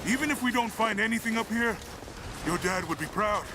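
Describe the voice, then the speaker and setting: An actor playing a loyal, protective climbing companion in a video game, concerned and encouraging. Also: male voice, high-pitched, brisk, noisy background. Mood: warm, wry.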